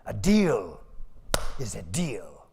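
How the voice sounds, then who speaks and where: Guy doing a smug greedy merchant, sneery and gloating, drags his words out slow. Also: lowish voice, wildly swooping pitch, gravelly, dark tone, slight background noise, pitched below the performer's own voice, full character voice. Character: mocking, greedy male village merchant.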